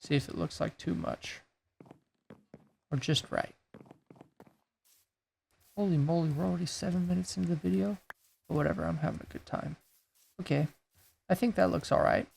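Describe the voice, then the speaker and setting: Guy w a super gravelly raspy voice, kinda hoarse, chill gameplay commentary, bit of hiss behind. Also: a little high, normal speed, long pauses, darkish tone. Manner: laid-back, thinking aloud while building. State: losing his voice. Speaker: gaming YouTuber.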